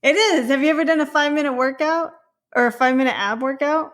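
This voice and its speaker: A woman's voice: high voice, quick pace. A woman co-hosting a podcast in casual chat, with an informal, playful, slangy way of talking. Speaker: podcast co-host.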